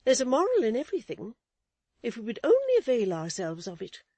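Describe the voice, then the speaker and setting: A woman's voice: huge pitch swings, a little fast, dark tone, no echo, heavily acted. A woman voicing a middle-aged wife who scolds her husband with withering, self-righteous indignation. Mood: moralizing. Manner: high-pitched, withering, sharply emphatic.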